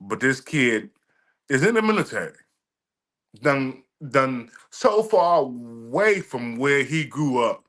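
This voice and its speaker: A man with a bright, forceful voice talks casually as a podcast co-host. He tells stories emphatically and swears along the way, stressing his points hard. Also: mid-range voice, very animated, slow. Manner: emphatic, profane, storytelling. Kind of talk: casual chat.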